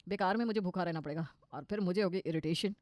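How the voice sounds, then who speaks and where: A woman's voice: fairly low pitch, fast, darkish tone, dry recording, pitched below the performer's own voice, natural voice. A woman voicing a pretentious, grumbling middle-aged father-in-law in a comic cartoon story, showy and exasperated, dropping in English words. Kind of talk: cartoon comedy story dialogue.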